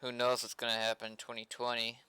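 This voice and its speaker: A man's voice: medium pitch, completely flat delivery, quick pace, bright, forceful tone, clean tone, no echo. A man streaming as he programs a game mod, casually thinking aloud and muttering to himself in short fragments. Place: indoors at a computer desk.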